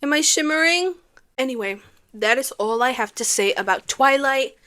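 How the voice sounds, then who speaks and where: Young female vlogger, animated and sarcastic, slightly high-pitched, comedic rant. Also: normal speed. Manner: animated, sarcastic, ranting with rhetorical questions. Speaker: movie review vlogger. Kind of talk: casual comedic movie review.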